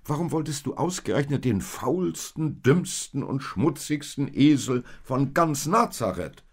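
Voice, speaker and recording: A man's voice: fairly low pitch, very animated, measured pace, a little mellow, heavily acted. A man voicing a poor, humble, weary husband in a storybook tale, earnest and hesitant. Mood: puzzled. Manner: hesitant, subdued. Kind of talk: storybook character voice in narrated tale.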